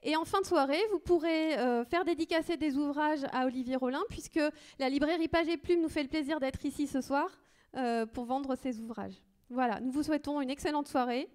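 A woman's voice: high-pitched, a little fast, a little mellow. A woman welcoming the audience and thanking partners on stage, with frequent hesitations. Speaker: event organizer. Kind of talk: introductory welcome speech. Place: theater stage.

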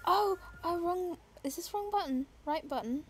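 Giggly high-voiced London woman. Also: lively intonation, normal speed, a bit bright, faint music behind. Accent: softened London English. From East London. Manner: giggly, self-deprecating. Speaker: streamer. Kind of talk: casual gaming chat.

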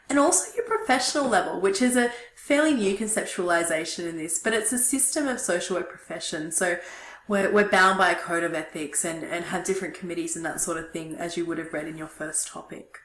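Woman lecturer, kinda hoarse and throaty, lowish voice, chatty with lots of ums, echoey room. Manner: relaxed, chatty with frequent ums. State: hoarse, losing her voice. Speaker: university lecturer. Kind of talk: recorded online lecture. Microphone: webcam at desk.

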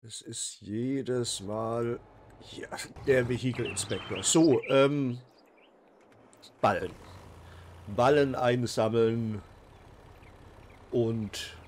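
A man's voice: medium pitch, extremely slow, pauses often, darkish tone, slight background noise. Man with a cold, chatty gamer. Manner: chatty, many "äh" fillers and restarts. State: has a cold. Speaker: let's play host. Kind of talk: casual game commentary.

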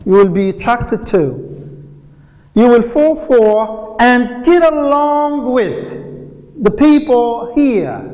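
A middle-aged man with a Moroccan accent teaches English in a classroom. His voice sits high, and he speaks slowly and patiently, with hesitant "uh" pauses between phrases. A long reverb rings around the room. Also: darkish tone. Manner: slow, patient explaining with frequent "uh" pauses. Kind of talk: classroom lesson explaining phrasal verbs. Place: classroom in front of a whiteboard.

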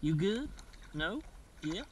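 A man's voice: high voice, big pitch swings, slow pace. A man narrating his own fishing in a casual, exclamatory way, talking to himself and repeating his phrases, recorded outdoors on an action camera. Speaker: kayak angler filming his catch. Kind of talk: casual excited self-narration while fishing. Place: outdoors on a kayak in a marsh. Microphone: action camera, a little off from the mouth. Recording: wind-noisy outdoor action camera, distant mic.

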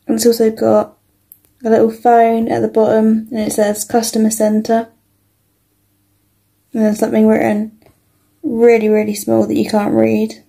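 A young woman with a British accent giving a casual, informal toy review as an unhurried walkthrough. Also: on the high side, completely flat delivery, vocal fry, warm tone.

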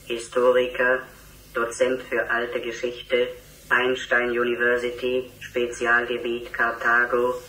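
An emotionless machine voice from a radio play reads out files in mechanical staccato. It is utterly flat and slow, with an echo around it. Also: male voice, mid-range voice, distant mic. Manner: monotone, staccato, mechanical recitation. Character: electronic robot speaker reading out surveillance files, emotionless. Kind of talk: radio drama acting.

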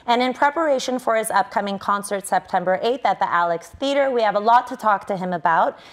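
A woman's voice: medium pitch, quick pace. A young woman hosting a TV interview in a studio, upbeat and polished, with the odd "um". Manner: upbeat and polished, with some "um" fillers. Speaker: TV host. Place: indoor TV studio set.

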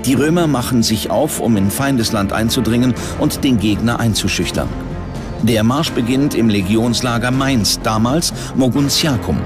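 A man's voice: mid-range voice, moderate pace. A man reading scripted documentary narration as the narrator. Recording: music bed under the voice. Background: music.

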